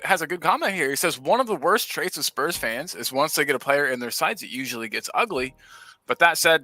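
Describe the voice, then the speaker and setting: A man from the United States hosts a casual livestream, firing off upbeat shout-outs to his chat. His voice is bright and forceful. The sound is dry and close, with faint music in the background. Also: mid-range voice, dry recording. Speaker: livestream host.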